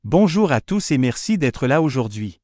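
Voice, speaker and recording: A man's voice: mid-range voice, sing-song, normal speed, music in the background, lightly acted. A man playing a formal manager admonishing his staff in scripted exam audio. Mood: firm, admonishing. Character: male manager sternly addressing his staff.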